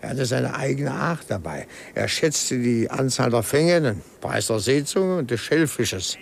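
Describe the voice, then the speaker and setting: An actor playing a brisk male employee who deliberately recounts a dead boss's routine, in 1950s German radio drama. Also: medium pitch, animated, creaky. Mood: businesslike, inquiring. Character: brisk male employee guiding the heir through his uncle's routine. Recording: noisy 1950s radio recording.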